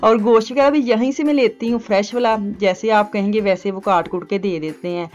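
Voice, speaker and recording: A woman's voice: medium pitch, steady tone, a little fast, a little mellow, highs cut off, soft background music. A middle-aged woman, rambling homely voice-over for her shopping vlog. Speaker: vlogger. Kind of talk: chatty voice-over narration. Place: home, recorded as voice-over.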